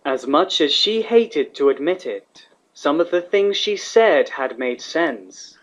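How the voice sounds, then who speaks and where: A man's voice: a little high, animated, slow pace, fairly bright tone. A man reading scripted fantasy fiction as the narrator. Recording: slightly dull, lo-fi recording.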